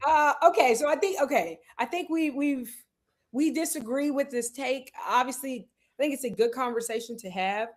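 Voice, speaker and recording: Female co-host, lively casual sports talk, brightish upper pitch. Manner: animated, quick asides, laughs often. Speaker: podcast co-host.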